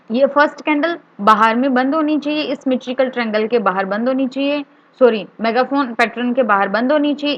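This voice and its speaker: High-voiced Indian woman teaching, dull recording. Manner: repeats key points for clarity; mixes English terms and phrases into speech. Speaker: trading instructor. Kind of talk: step-by-step tutorial explanation.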